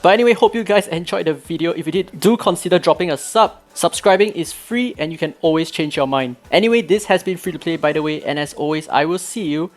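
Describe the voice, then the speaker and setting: A hoarse man reading out game patch notes, then breaking in with his own eager opinions, as a gaming video creator. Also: a little high, fast talker, few pauses.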